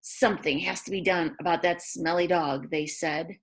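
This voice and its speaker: A woman reads a picture book aloud to young children in an expressive way, adding chatty asides about the pictures. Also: on the low side, moderate pace. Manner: expressive, exclaims and gasps over the pictures. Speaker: read-aloud storyteller for children.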